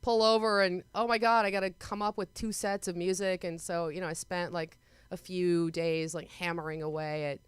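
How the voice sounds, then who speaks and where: Animated woman telling stories, smooth voice. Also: no echo. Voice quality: smooth, very low singing voice. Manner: animated storyteller, quick asides. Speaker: guest composer. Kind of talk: casual interview chat.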